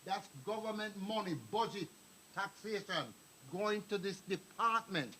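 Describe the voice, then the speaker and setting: This is an older man, talking at a meeting hall. He tells stories, drifts off topic and calls out to people in the audience. His voice sits high, with a faint hiss under it. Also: unhurried, bright, forceful tone. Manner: rambling, anecdotal, addresses the crowd directly. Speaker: speaker at a community meeting. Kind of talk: public address to an audience.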